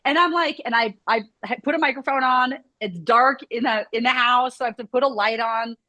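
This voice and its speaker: Chatty woman talking fast. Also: fairly high pitch, bright, forceful tone, dry recording, lo-fi sound. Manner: chatty, rambling, lots of "like" fillers. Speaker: scoliosis movement studio owner. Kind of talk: casual video chat conversation.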